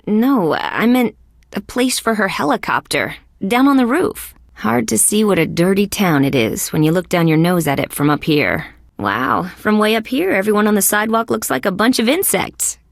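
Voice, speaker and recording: A woman's voice: mid-range voice, expressive, moderate pace, vocal fry, dry recording. A wisecracking insect police detective from a video game. She speaks in a middle register with a hard-boiled, sardonic edge. The sound is very dry, with no room around the voice. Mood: wry. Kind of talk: scripted game dialogue, detective banter.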